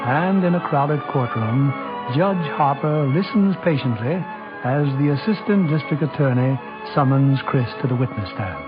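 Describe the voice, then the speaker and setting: A man hosting and narrating a 1940s radio drama in a formal, theatrical, scripted style. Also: mid-range voice. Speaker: host and narrator. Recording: dull 1940s radio broadcast. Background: music.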